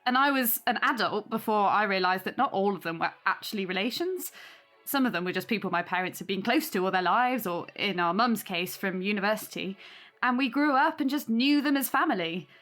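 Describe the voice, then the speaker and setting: An actress playing a young single mother in an audio drama, warm, sincere and chatty as she hosts her neighbours. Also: mid-range voice, lively intonation, brisk, faint music behind, natural voice. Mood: warm, earnest.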